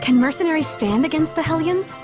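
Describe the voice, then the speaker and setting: A woman playing a gentle, courteous female spirit companion in an animated game, polite, formal and earnest. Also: sits high, fairly quick, over music, natural voice. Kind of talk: animated game cutscene acting.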